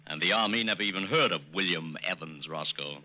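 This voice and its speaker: An actor in an old radio drama playing a dry, disapproving FBI agent. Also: male voice, low-pitched, fairly quick, lightly acted. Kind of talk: mid-century American radio drama acting. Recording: muffled old radio recording.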